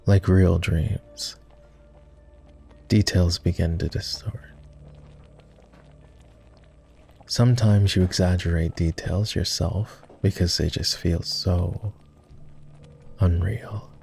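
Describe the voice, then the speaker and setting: Husky, low, slow-spoken gentleman vampire acted by a man. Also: steady tone, faint music behind, full character voice. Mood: wistful. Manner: formal, courteous, hesitant, measured phrasing. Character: polite, self-deprecating, gently teasing ancient male vampire. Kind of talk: roleplay ASMR voice acting, intimate and soft.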